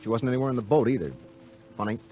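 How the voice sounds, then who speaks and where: A man's voice: medium pitch, racing, warm tone, dull audio, soft background music, natural voice. An American man playing a moody newlywed diver in a 1950s radio drama, shifting from easygoing to grouchy. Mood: reflective narration. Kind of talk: 1950s radio drama acting with first-person narration. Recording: vintage 1950 radio broadcast.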